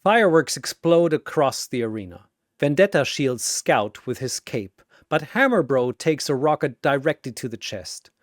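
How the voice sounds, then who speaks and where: A man's voice: mid-range voice, sing-song, normal speed, no room sound, clean studio sound. A man narrating a scripted action story in a theatrical way, with short suspenseful phrases.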